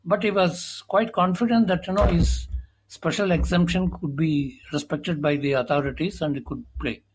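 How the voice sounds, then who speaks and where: A man's voice: fairly high pitch, normal speed, noisy background. A man with an Indian English accent, a sports journalist explaining at length, with many fillers. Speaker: senior sports journalist, guest. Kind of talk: conversational interview talk.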